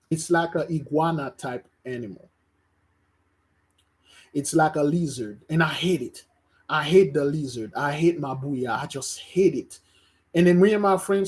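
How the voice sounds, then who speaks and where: A man's voice: medium pitch, a little mellow. Emphatic man preaching. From Haiti. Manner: emphatic, repeats phrases, stumbles and restarts. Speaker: religious teacher. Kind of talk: informal online preaching.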